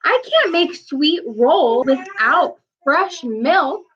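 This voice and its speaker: A woman's voice: sits high, animated, drawn-out words, fairly bright tone, muffled recording, pitched above the performer's own voice, exaggerated voice. A woman voicing a humble, worried male baker from a storybook, in a pleading manner.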